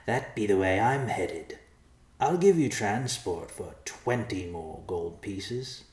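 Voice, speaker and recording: A man's voice: fairly low pitch, expressive, takes it slowly, darkish tone. A man voicing a sly, greedy little bandit, roomy echo. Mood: sly, haggling. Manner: folksy rustic grammar, wheedling and cocky. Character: greedy, sly little bearded male bandit caravan driver.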